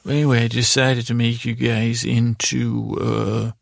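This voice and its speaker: A man hosting a bedtime podcast in a gravelly voice, with a meandering, halting, self-deprecating monologue. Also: mid-range voice, flat delivery, no echo, studio quality. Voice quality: very creaky, gravelly. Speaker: podcast host.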